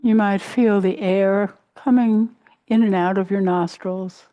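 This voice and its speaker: Slow, mellow woman guiding a meditation. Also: medium pitch, steady tone, dry recording. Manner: gentle, unhurried, pausing between short phrases. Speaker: meditation teacher. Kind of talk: guided meditation, body scan.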